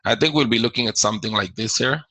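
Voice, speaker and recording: A man's voice: lowish voice, quick pace, bright, forceful tone, no room sound. A man with a Caribbean accent hosting a live trading webinar, answering viewer questions in a casual explaining manner with frequent repeated words.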